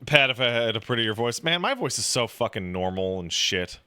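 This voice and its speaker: A man's voice: mid-range voice, big pitch swings, moderate pace, pushed and bright, clear, resonant tone, dry recording. A man giving casual, sarcastic and self-deprecating commentary. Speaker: video essayist, host.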